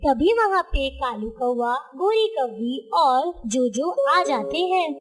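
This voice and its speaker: Female narrator, high voice, scripted storytelling for children. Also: expressive, slow.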